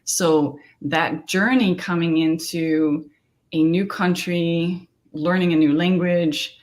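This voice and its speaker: A woman's voice: on the low side, steady tone, slow, a little mellow. A middle-aged woman from Denmark, a therapist and coach speaking earnestly in an interview and often asking "right?". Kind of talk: conversational interview.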